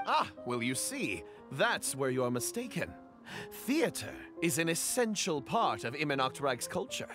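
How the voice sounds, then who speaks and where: High-pitched, earnest hapless young knight. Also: male voice, very animated, a little slow, over music. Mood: proud, earnest. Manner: earnest, theatrical. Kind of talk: anime-style video game dialogue.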